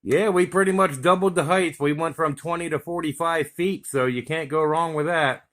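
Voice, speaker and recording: An American man talks casually over two-way radio as a CB operator. His voice is bright and forceful, sitting slightly higher than most men's. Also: steady tone, moderate pace.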